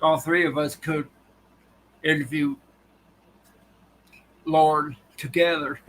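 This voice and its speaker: A man hosting a casual livestream, talking haltingly with a tired, dry voice. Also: on the high side, fairly even intonation, fairly bright tone, a little hiss or hum, rough recording. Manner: halting, restarts often. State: voice tiring and dry. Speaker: livestream host. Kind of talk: casual live chat with viewers.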